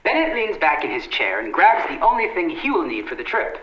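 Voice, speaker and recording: A man's voice: a little high, wildly swooping pitch, brisk, lo-fi sound, background noise. A man playing a dramatic old-time radio announcer narrating a story, in echoey vintage sound. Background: loud music.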